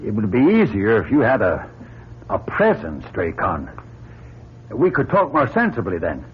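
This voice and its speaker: An actor playing an earnest, rattled astronaut pilot in a vintage radio drama, with a husky, rough voice. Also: male voice, on the low side, huge pitch swings, normal speed, a little hiss or hum. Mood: wary, firm. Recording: muffled old radio recording.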